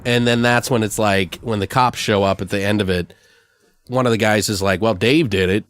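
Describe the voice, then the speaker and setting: A man hosting a podcast, chatting casually and brainstorming in a rambling, animated storytelling way with plenty of swearing. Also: fairly low pitch, normal speed, no echo. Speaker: podcast host. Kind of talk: casual improvised brainstorming chat.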